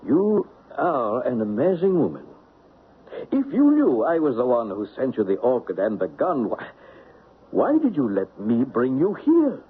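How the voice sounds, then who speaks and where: An actor playing a sly, evasive French spy posing as an ally in an old radio mystery, suave and theatrical. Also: male voice, medium pitch, wildly swooping pitch, unhurried, slightly rough, heavily acted. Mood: uneasy, evasive. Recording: tinny, noisy old radio recording.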